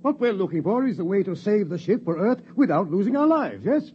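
An actor playing a pompous, blustering older colonel in a 1950s radio drama. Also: male voice, sits high, sing-song, a little fast, a little hiss or hum. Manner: pompous, blustery, exclaims "blast it". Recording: dull old radio broadcast.

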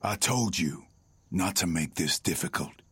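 An actor playing a corrupt, arrogant small-town lawman in an audio drama, unhurried and taunting. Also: low-pitched, expressive, fairly bright tone, slightly rough, dry recording, heavily acted. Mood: cold menace. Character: menacing, smug corrupt small-town lawman with nanotech enhancements.

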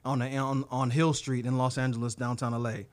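A man's voice: medium pitch, flat delivery, a little fast, no echo. A man speaking as a podcast guest, earnest and reflective, with frequent fillers. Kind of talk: casual conversation.

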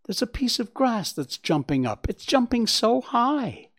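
Man playing an eager, high-voiced little fawn. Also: unhurried, no room sound, studio quality, exaggerated voice. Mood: curious, polite. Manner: excited, wide-eyed, earnest. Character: eager, curious, polite young male fawn.

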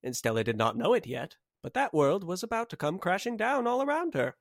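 A man's voice: sits high, sing-song, a little fast, gravelly, heavily acted. A man playing a wry, theatrical narrator for a sci-fi comedy trailer. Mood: wry, dramatic. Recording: music behind the voice.

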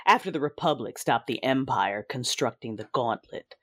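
A woman playing a brooding, merciless war leader, narrating an audio drama gravely and deliberately. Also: rumbling low, expressive. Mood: grim resolve. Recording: music under the voice.

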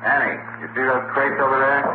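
An actor playing a brisk, wisecracking crime photographer in a 1940s radio drama, slangy and punchy with an American accent. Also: male voice, mid-range voice, steady tone, natural voice. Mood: confident. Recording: noisy, narrow-band 1940s radio recording.

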